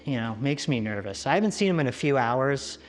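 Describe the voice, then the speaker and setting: A man's voice: medium pitch, animated, music in the background, lightly acted. An actor playing a lone apocalypse survivor, uneasy but practical, talking conversationally to his camera in a found-footage vlog. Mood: uneasy, casual. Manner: conversational, matter-of-fact, slightly deliberate. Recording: noisy camcorder-style recording with ambience.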